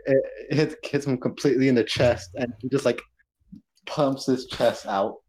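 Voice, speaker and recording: A man's voice: medium pitch, fairly even intonation, normal speed, darkish tone, low-quality recording. A man playing a tabletop game, talking in casual gamer chat.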